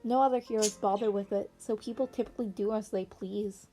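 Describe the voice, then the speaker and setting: A woman's voice: mid-range voice, steady tone, brisk, warm tone, faint music behind, close to an everyday voice, low-quality recording. A woman voicing a confident, matter-of-fact young male vigilante in a story reading. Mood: assured.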